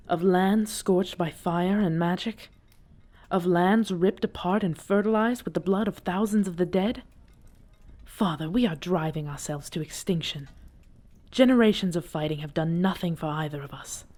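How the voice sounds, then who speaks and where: A woman's voice: lowish voice, a little slow, a little mellow. A woman playing a defiant, principled dragon princess in an audio drama, speaking formally and with earnest emphasis. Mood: weary, reproachful. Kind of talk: dramatic audio roleplay acting.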